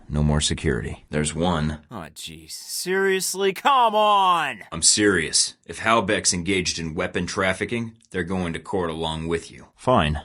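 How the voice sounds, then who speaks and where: A man's voice: sits low, fairly bright tone. An actor playing a wry, cocky young male spy agent in a video game, with short dry lines and a sardonic edge. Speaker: game protagonist.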